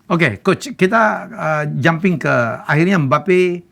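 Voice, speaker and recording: Male sports host, fairly high and lively voice with gravel, agreeable, lots of murmured "hmm"s. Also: slow. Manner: agreeable, frequent murmured backchannels. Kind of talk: casual sports talk show chat.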